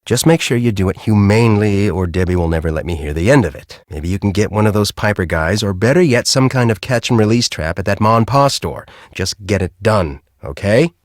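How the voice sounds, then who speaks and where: An actor playing a grumpy, bossy middle-aged storm-chasing professor in a video game, with an American accent and a brusque, sarcastic, exasperated manner. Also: male voice, on the low side, animated, a little fast, few pauses, no echo, studio quality, close to an everyday voice. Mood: brusque, businesslike. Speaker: video game character, research team leader.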